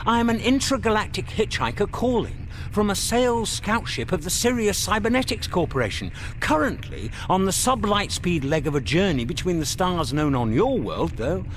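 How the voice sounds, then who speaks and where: An actor playing an exasperated intergalactic hitchhiker in a radio comedy drama, indignant, wordy and sardonic. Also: male voice, sits high, big pitch swings, normal speed, hardly any pauses, slight background noise. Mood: impatient. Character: exasperated male intergalactic hitchhiker arguing with an operator.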